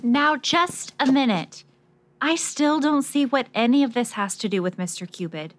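A woman's voice: sits high, normal speed, slight background noise, natural voice. A woman playing an impatient, sarcastic newspaper reporter in an old-time noir radio drama. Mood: exasperated.

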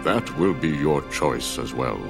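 An actor playing a mysterious cloaked older man in a video game, speaking in a solemn, cryptic way with a gravelly voice over game music. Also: expressive, measured pace, exaggerated voice. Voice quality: deep gravelly voice. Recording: game audio with loud music underneath.

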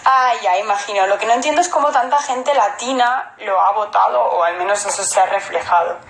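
A Spanish woman in her twenties chatting casually on a phone livestream, answering viewers in Spanish and halting English with a Spanish accent. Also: medium pitch, a bit bright, roomy sound, slight background noise, poor audio. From Spain. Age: 20s. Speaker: model on a livestream. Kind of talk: casual livestream chat reading comments. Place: indoor room at home. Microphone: phone held at arm's length.

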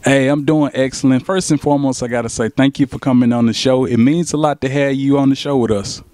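A man's voice: mid-range voice, steady tone, fast, mellow, no echo, clean studio sound. A young man hosting a talk show, speaking in a hyped, promotional way with casual slang into a handheld mic. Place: small studio set with couch.

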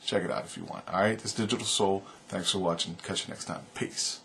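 A man in his thirties talks straight into a webcam from a couch in a small room, his low, loud voice rambling earnestly and dotted with "man" and "you know". A faint hiss of room noise sits under the homemade webcam sound. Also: steady tone, fairly quick, creaky. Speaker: vlogger. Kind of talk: casual opinion vlog to camera.

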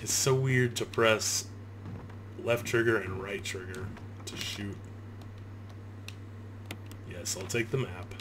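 30 yr old dude doing gameplay commentary, cussing and complaining, game noise in back. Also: male voice, fairly low pitch, slow pace, long pauses. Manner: sweary, griping, self-deprecating. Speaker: gamer, video host. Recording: clear game ambience noise behind. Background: horror video game sound.

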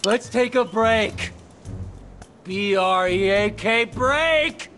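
A man voicing an excitable, boastful young vacationer in an anime game, with an exclamatory, dramatic delivery. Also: sits high, drawn-out words, faint music behind, heavily acted. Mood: exasperated.

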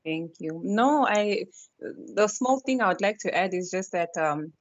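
Woman calling in, agrees a lot and laughs, middle pitch, muffled dry line. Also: animated, unhurried, no room sound. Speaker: caller. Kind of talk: phone-in chat.

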